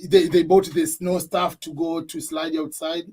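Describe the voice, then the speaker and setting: A man from Tanzania chatting casually as a guest on a live stream from home, relaxed and laughing often. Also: a little high, measured pace, husky. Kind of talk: casual chat. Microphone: computer mic.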